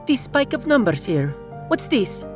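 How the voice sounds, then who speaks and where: A man's voice: extremely high pitch, very animated, a little slow, over music, heavily acted. A man voicing a practical, teasing female mathematician with a Russian accent and chiding manner in a sci-fi audio drama. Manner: chiding, no-nonsense.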